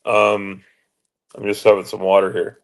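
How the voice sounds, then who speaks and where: A man in his late forties with a deep booming voice, talking haltingly with many fillers while in pain and trying to keep his voice even. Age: 40s. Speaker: vlogger. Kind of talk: casual monologue.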